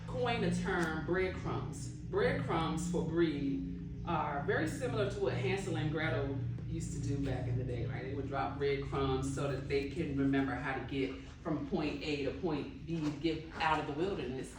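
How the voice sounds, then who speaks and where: A woman's voice: deep voice, normal speed, heavy background noise. A woman author speaking conversationally to an audience about her novel, with fillers and laughter. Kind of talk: book talk with audience. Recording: echoey room, distant mic.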